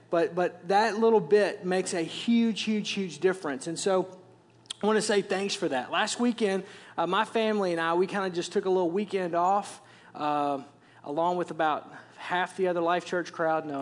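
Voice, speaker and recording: A man with a Wisconsin accent preaching a sermon as senior pastor, conversational, with joking asides and repeated words. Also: high voice. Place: large church auditorium. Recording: long hall reverb.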